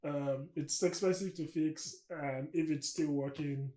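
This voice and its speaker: A man's voice: on the high side, fairly even intonation, measured pace, warm tone. A man from Nigeria chatting casually while reacting to a show, laughing often. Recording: echoey room with background noise.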